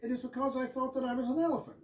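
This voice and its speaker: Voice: high-pitched, steady tone, racing, darkish tone, lightly acted. A performer in amateur readers' theater playing a bashful, long-winded fire chief who tells absurd stories. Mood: storytelling. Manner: long-winded run-on storytelling. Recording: echoey room, distant mic.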